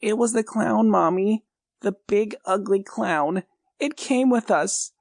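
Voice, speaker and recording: A man's voice: slow, vocal fry, dry recording, clean studio sound, heavily acted. A man doing a scared, tearful little boy. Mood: frightened. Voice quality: high, pleading, tearful. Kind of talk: storybook reading.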